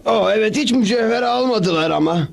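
A man's voice: high-pitched, animated, fairly quick, gravelly, heavily acted. An actor voicing a flustered male robbery victim in a cartoon dub, plaintive and fussy. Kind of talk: animated cartoon dub acting.

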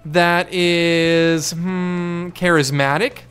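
A young man with a higher voice chatting casually and thinking aloud as he hosts a game playthrough. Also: steady tone, very slow, fairly bright tone. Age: young adult. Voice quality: higher-pitched, clear smooth voice. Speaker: gaming video host. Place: small home room, indoors. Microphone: desk microphone close to mouth. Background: game music.

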